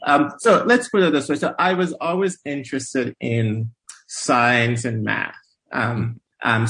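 A man speaks as an interview guest. His mid-pitched voice has a noticeable creak, and he talks in a halting, thoughtful way, stopping often to gather his words. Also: fairly bright tone. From Nigeria. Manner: hesitant, halting, pausing often to think. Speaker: interview guest, medical researcher. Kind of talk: conversational interview answers.

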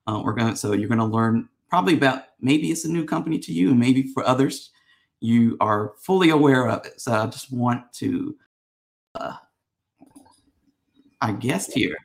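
A man's voice: mid-range voice, normal speed, gravelly. A man hosting a casual interview show, asking rambling, friendly questions with plenty of ums and restarts. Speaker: podcast host.

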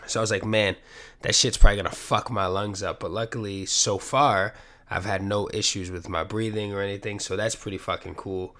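A man with a somewhat low voice rambles through a casual solo podcast from his apartment. He is sniffly and sick with a cold, and he talks loosely and profanely, with a self-deprecating tone. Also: fairly even intonation, a little fast, bright, forceful tone. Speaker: podcast host. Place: home apartment.

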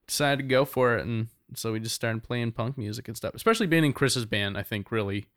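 A man's voice: mid-range voice, brisk, a bit bright, dry recording, clean studio sound. A man chatting casually as a guest, rambling through his story with lots of restarts. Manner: rambling, many fillers and restarts. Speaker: band member, guest. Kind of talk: casual podcast chat.

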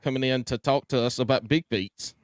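A man's voice: mid-range voice, fairly even intonation, fairly quick, no room sound, studio quality. A man co-hosting a casual podcast while congested with a stuffy nose, with a wry, teasing manner. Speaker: podcast co-host. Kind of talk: casual chat.

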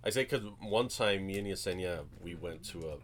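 A man co-hosting a podcast in casual group chat, often halting and restarting his sentences. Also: low-pitched, a little hiss or hum. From US-Mexico border region. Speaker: podcast co-host.

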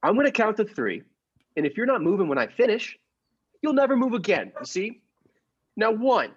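A man's voice: high-pitched, sing-song, moderate pace, slightly rough, highs cut off, heavily acted. A man playing a meek daydreaming clerk who puts on a swaggering movie gangster, in a comic radio play. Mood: menacing swagger. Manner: stammering when meek, clipped gangster swagger when posing. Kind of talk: improv podcast performance of classic radio comedy play.

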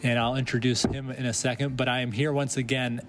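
Man, podcast host, relaxed, encouraging, mid-pitched, faint hiss behind. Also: brisk. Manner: relaxed, encouraging with frequent mm-hmms. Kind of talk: casual interview. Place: conference venue.

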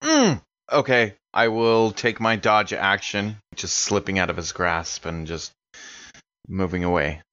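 A man's voice: fairly low pitch, lively intonation, a little slow, bright, forceful tone, dry recording, highs cut off. An American man chatting casually as a player in a tabletop roleplaying game.

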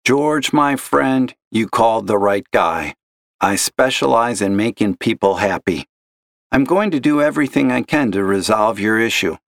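A man's voice: lowish voice, animated, moderate pace, vocal fry, no room sound, studio quality. A man voicing a polite, chipper customer service agent who stays patient with a difficult caller. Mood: chipper, strained. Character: upbeat young male customer service agent. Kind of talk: audio story reading.

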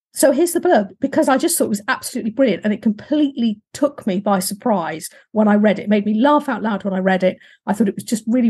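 A middle-aged woman with a husky, slightly croaky voice, hosting a podcast in a chatty, informal, rambling way. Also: mid-range voice, mellow. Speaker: podcast host. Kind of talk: casual conversational podcast hosting.

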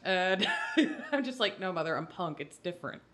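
A Scottish woman with a fairly low voice and a bright, forward tone. She leads a casual chat as a podcast co-host, explaining things with irreverent, sweary humour. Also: lively intonation, moderate pace. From Scotland. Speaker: podcast co-host leading the discussion. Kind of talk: casual explanatory chat about a tabletop game.

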